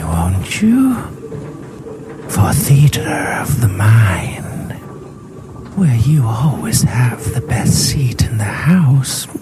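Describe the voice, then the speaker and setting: A man putting on a creepy horror host voice, whispering slowly and cackling between lines. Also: on the high side, background noise, exaggerated voice. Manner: slow, whispery, with wild cackles. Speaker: podcast host. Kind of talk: spooky show intro.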